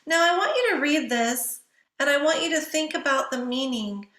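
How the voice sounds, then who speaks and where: A woman's voice: sits high, animated, normal speed, sharp tone, reverberant. A woman giving an instructional writing lecture in a didactic way, posing questions to listeners and answering them herself.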